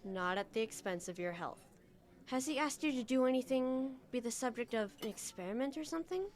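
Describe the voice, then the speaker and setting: A woman's voice: on the high side, animated, a little fast, close to an everyday voice. An actress playing a suspicious, insistent woman in a radio drama, speaking conversationally with pressing emphasis. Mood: suspicious, urgent. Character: suspicious, insistent woman digging into a murder case.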